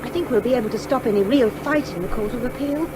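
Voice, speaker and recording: An actress playing a brisk, bossy young woman lawyer in a British comic radio drama, with an English accent and an urgent manner. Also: on the high side, close to an everyday voice. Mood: eager, brisk. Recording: vehicle and outdoor noise.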